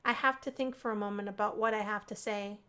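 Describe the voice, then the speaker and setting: A woman narrating a visual novel let's play, reading the story aloud in an expressive storybook way. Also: medium pitch, fast talker, clear, resonant tone. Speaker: gaming video creator.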